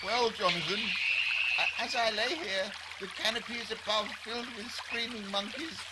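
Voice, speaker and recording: An actor playing a stoical explorer who speaks formally and with understatement in a comic radio documentary. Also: male voice, high voice, steady tone, normal speed, very noisy, natural voice. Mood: stoical alarm. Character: Peter, absurdly polite, stoical male jungle explorer. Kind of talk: mock radio documentary acting. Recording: very loud jungle and running water.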